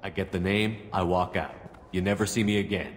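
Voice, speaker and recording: A man plays a firm, fair commander in a sci-fi game. The voice is deep, terse and controlled, with some reverb and faint noise around it. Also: steady tone, brisk, lightly acted. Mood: pressing. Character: steady, resolute male military commander, firm but fair. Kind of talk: video game voice acting.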